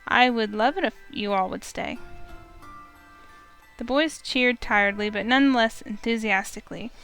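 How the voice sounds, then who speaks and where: Woman streamer, slightly high voice, narrates a romance game aloud with feeling. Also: moderate pace, soft background music, low-quality recording. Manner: expressive, first-person storytelling. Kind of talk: reading a visual novel aloud.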